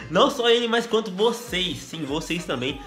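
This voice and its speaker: Bright-voiced young man with a cold. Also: sits high, moderate pace, soft background music. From Brazil. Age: young adult. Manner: excitable, laughing, slangy. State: congested with a cold. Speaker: gaming youtuber. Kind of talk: casual vlog chat. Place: small room at home.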